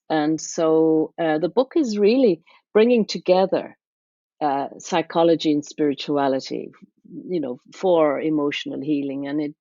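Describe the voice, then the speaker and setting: A middle-aged woman, speaking thoughtfully and haltingly as an interview guest about her own life and work. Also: low voice, warm tone, dry recording, highs cut off. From west of Ireland. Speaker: interview guest, psychotherapist and author.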